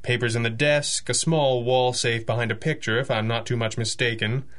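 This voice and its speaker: A man playing a composed, commanding gentleman detective in a 1930s-style radio drama, crisp and dryly witty. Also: medium pitch, steady tone, moderate pace, close to an everyday voice. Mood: brisk, dry.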